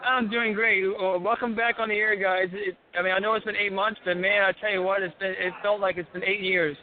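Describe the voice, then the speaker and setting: High voiced guy calling a radio show, halting and stumbly, tinny phone line. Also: level tone. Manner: halting, stumbling over words. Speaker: caller. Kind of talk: casual phone-in chat. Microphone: telephone call. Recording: tinny phone line, crowd noise behind.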